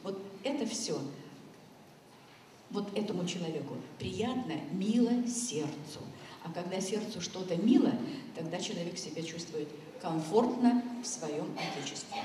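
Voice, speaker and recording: A woman's voice: fairly low pitch, expressive, a little slow, warm tone. A woman from Chuvashia giving an informal, heartfelt commemorative speech to an audience, wandering between thoughts and addressing her listeners directly. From Chuvashia, Russia. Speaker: guest speaker at a school commemoration. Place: school hall stage, indoors. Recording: very echoey hall, distant mic, faint noise.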